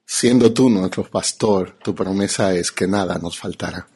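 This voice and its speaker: A man with a Dominican Spanish accent preaching and teaching as a pastor, emphatic, with rhetorical questions and deliberate pauses. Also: deep voice, a little mellow, breathy edge. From Dominican Republic. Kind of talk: teaching sermon to an audience.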